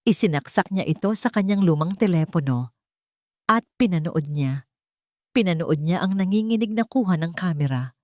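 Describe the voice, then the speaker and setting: A woman's voice: on the low side, dry recording, studio quality. A woman storyteller reading a scripted, dramatic story in a solemn, suspenseful manner. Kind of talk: dramatic scripted narration of a love and family story.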